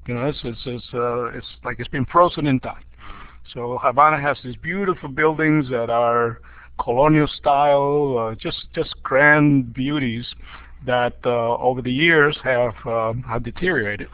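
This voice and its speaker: An older man from Cuba talks through his thoughts with frequent "uh"s and restarts, now and then chuckling at his own jokes. His voice sits in an ordinary middle pitch. The audio is dry and a bit dull, like a lo-fi online call. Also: a little slow, dry recording. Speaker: dean of a school of education. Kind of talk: casual spoken overview on a webinar.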